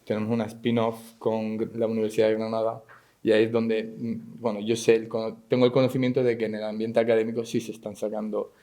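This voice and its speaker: Guy on a panel, soft dark voice, pretty flat delivery, explains stuff casually. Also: lowish voice, quick pace, few pauses. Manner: explanatory, seeks agreement with tag questions. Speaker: panelist, tech company professional. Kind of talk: unscripted panel discussion.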